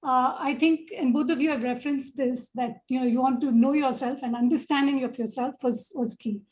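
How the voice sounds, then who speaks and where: A woman with an Indian accent moderating a panel, halting as she introduces guests and puts questions to them. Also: high-pitched, fairly even intonation, a little mellow, lo-fi sound.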